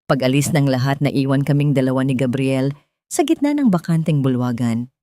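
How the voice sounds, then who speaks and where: A woman narrating a scripted first-person dramatic story in a solemn, deliberate, sentimental way. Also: a bass voice, expressive, dark tone, no echo, studio quality.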